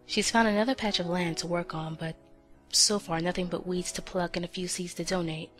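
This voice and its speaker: A woman's voice: lowish voice, steady tone, fairly quick, soft background music, close to an everyday voice. A woman playing a deferential aide in an audio drama, speaking carefully. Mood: dutiful. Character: deferential female aide with hidden bitterness.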